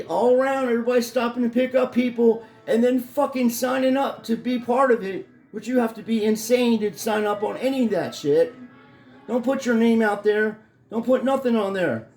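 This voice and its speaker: A middle-aged man with a fairly high, hoarse voice rants into the camera, cursing and rambling with angry conviction. His voice rings off the bare walls of an echoey room. Faint music plays underneath. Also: darkish tone. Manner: angry, profane, rambling rant. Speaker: vlogger. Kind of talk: ranting vlog. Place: his home in Florida.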